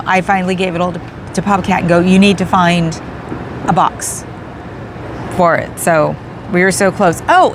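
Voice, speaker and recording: A woman's voice: medium pitch, background noise. A woman chatting casually on a livestream, thanking viewers by name and laughing often. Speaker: livestream host. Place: in a parked car outdoors.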